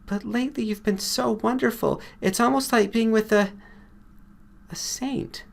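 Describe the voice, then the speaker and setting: A male narrator plays an anxious, devoted woman in a storybook. The voice is lifted high, with hesitant, gushing admiration. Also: a little slow, creaky. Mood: adoring. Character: nervous, adoring, devoted woman.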